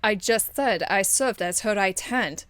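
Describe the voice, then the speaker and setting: Woman acting stern female holy warrior, noble, formal, measured, earnest, forceful tone, dry close sound, fantasy game. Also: medium pitch, clear, resonant tone, no room sound, lightly acted. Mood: earnest, restrained. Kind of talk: amateur game character voice acting demo.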